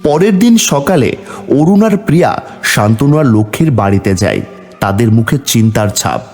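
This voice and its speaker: A man's voice: medium pitch, sing-song, brisk, darkish tone, faint music behind. A man narrating a scripted moral folk tale as a storyteller.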